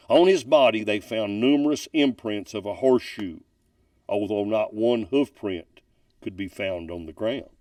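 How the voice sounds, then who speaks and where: A man reading a ghost story aloud from a book as a storyteller, with deliberate, dramatic emphasis. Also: lowish voice, darkish tone, no echo, clean studio sound, distant mic. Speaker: narrator reading ghost stories.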